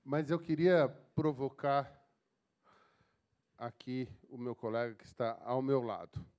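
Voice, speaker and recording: A man moderating a university panel discussion, prompting his colleague to speak in a measured way. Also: medium pitch, a little mellow, lo-fi sound.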